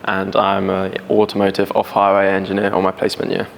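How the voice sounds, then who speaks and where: A twenty-year-old man with a low, gravelly voice who answers thoughtfully and rephrases as he goes. The room adds a long echo, with a faint hiss underneath. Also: completely flat delivery, fairly quick. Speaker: student rally team member. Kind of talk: casual interview answers. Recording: reverberant room.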